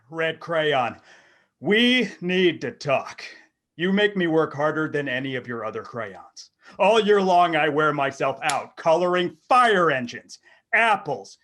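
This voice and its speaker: A weary red crayon from a storybook airs its grievances, acted out by a man. The delivery is expressive in pitch, fed up and overworked in temper. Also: exaggerated voice. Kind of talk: readers theater storybook reading.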